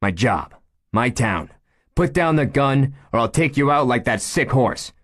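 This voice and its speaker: A man voicing a steady male police chief who gives firm, level orders. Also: medium pitch, a little slow, voice pushed up. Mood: commanding.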